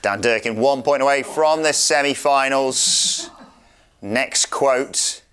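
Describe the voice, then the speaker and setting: A man's voice: mid-range voice, takes it slowly, a bit bright, smooth. A man hosting a quiz in a theatrical, showman-like game show style. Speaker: quiz host.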